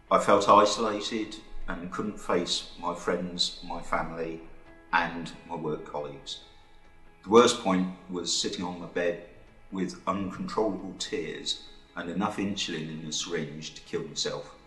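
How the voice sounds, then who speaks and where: A man in his fifties giving an earnest, measured scripted testimonial. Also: sits low, steady tone, a bit bright, faint music behind. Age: 50s. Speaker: employee sharing his mental health story. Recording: roomy video recording.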